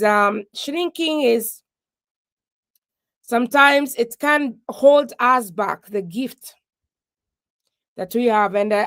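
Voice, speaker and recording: A woman from Kenya giving a slow, halting testimony of faith on a video call, pausing often as she thanks God. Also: mid-range voice, no room sound, studio quality. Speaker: guest speaker.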